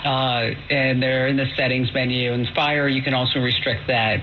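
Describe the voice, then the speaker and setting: A man's voice: medium pitch, little pitch movement, normal speed. A man hosting a call-in advice show in a casual, informal, exclamatory way, often checking in with the listener. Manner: informal, exclamatory, peppered with "you know". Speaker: call-in tech advice host. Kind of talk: casual, chatty radio advice. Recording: noisy, dull shortwave radio.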